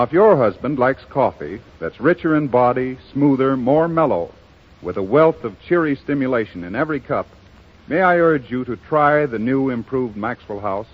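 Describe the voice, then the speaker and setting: Male 1930s commercial announcer: husky medium-pitched voice, animated intonation, polished persuasive sell. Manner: polished, persuasive salesman's delivery. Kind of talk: scripted 1930s radio advertisement. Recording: dull, muffled 1930s radio broadcast.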